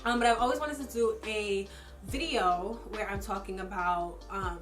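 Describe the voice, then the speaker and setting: A woman's voice: medium pitch, moderate pace, a bit bright, faint music behind. A young woman losing her voice with a runny nose, chatting casually through a makeup product review as a vlogger. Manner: chatty, filler-heavy, personal opinions. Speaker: beauty vlogger.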